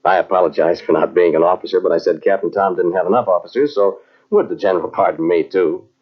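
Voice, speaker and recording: An American man playing a deferential, earnest cavalry sergeant in an old radio drama, talking in a halting, folksy way. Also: sits low. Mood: nostalgic, reminiscing. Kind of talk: old-time radio drama acting and narration. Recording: muffled old radio drama from cassette tape.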